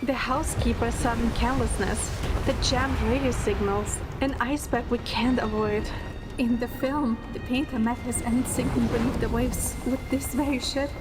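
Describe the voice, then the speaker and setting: A woman's voice: on the high side, steady tone, normal speed, natural voice. A woman playing a devoted young heroine in love, narrating an audio drama in the first person with earnest, dramatic delivery. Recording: loud music bed under the voice. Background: loud music.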